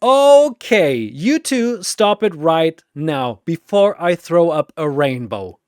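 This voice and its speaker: Guy doing a flamboyant arena boss, fed up and scolding, pitched way up, super animated. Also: takes it slowly, clean tone, no echo, studio quality. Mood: exasperated scolding. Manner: emphatic, scolding, theatrical. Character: loud exasperated flamboyant four-armed male arena master.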